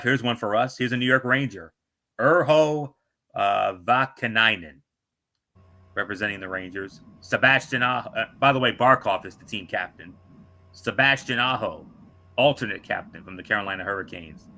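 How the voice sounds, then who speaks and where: Bright-voiced man reading hockey rosters. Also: fairly low pitch. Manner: reads names with stumbles and asides. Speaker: sports show host. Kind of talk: casual sports talk, reading a roster.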